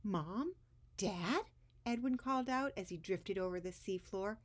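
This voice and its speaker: A woman's voice: medium pitch, a little fast. A woman hosting a story time for young children, speaking expressively as she shares a picture book.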